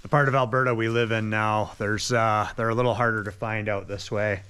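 A man's voice: on the low side, fairly quick, smooth. A man in his thirties, chatting casually and jokily as he explores an abandoned building outdoors, filmed on an action camera. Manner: relaxed, chatty, jokey. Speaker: vlogger. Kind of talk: casual exploring vlog chat.

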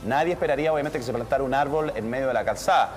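A man giving measured, formal commentary as a studio news anchor. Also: mid-range voice, in a large hall, faint music behind.

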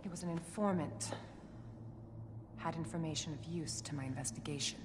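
A woman's voice: low voice, normal speed, noisy background, close to an everyday voice. A woman as a cool, reverberant federal agent. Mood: cool, dismissive. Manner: curt, commanding, secretive. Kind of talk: dramatic video game acting. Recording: long reverb, music behind. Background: music.

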